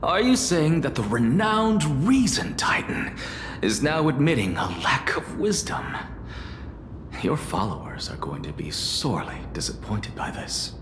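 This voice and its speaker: A man's voice: medium pitch, very animated, noisy background. An actor playing a proud young male scholar in a video game, with haughty, theatrical delivery. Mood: smug, mocking. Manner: theatrical, haughty, deliberate phrasing. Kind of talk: dramatic video game voice acting. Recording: echoey hall reverb with music behind.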